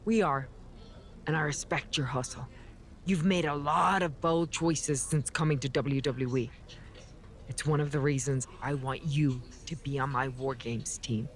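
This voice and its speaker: A woman's voice: very deep voice, fairly even intonation, unhurried, slight background noise. Confident, frank female wrestler. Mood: frank, approving. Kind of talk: scripted game cutscene dialogue.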